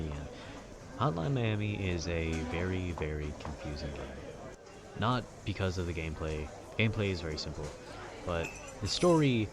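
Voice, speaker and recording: A young man with a very low voice rambles casually in a calm, wry way. Clearly audible urban ambience sits behind his informal monologue. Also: background noise. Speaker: video creator. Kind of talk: casual rambling monologue about video games.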